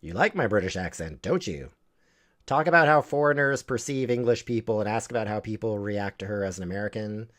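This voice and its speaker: A man's voice: on the low side, lively intonation, a little fast, a bit bright. A man streaming a video game live, reading options aloud and joking sarcastically.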